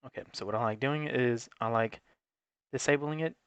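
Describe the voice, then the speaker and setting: A man's voice: mid-range voice, moderate pace, fairly bright tone, clean tone, no echo. A man giving an unscripted, matter-of-fact, step-by-step tutorial in an instructional way. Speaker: technical support presenter.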